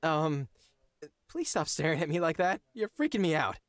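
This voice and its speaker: A young video game nobleman, polite and earnest. His high, lively voice is hesitant and awkward, recorded close and dry. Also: normal speed, no room sound. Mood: flustered. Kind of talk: video game character acting.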